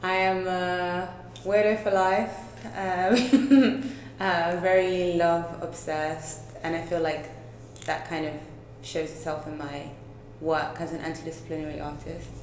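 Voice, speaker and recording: A young woman from Nigeria co-hosting a reflective personal conversation, speaking slowly and thoughtfully with pauses. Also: lowish voice, little pitch movement, darkish tone, a little hiss or hum. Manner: slow, thoughtful, with pauses and fillers. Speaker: co-host. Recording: echoey room.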